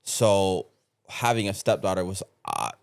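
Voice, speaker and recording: A middle-aged man speaks in a deep, smooth voice, recorded close and dry. He chats casually but haltingly, pausing to find his words in a reflective way. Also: big pitch swings, no room sound. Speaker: podcast participant. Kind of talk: casual chat.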